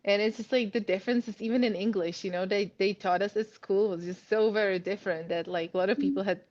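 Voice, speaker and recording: A woman's voice: mid-range voice, fairly even intonation, quick pace, darkish tone, dull audio. A woman in her thirties with a Czech accent, chatting as an interview guest, laughing often and full of "like" and "you know". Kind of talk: casual interview.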